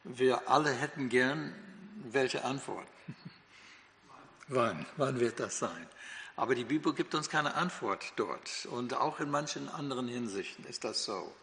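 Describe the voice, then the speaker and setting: Older man, American accent, non-native speaker, Bible teacher, hesitant restarts, mid pitch, long hall reverb. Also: expressive, a little slow, vocal fry, fairly bright tone. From United States. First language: English. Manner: hesitant, many fillers and restarts.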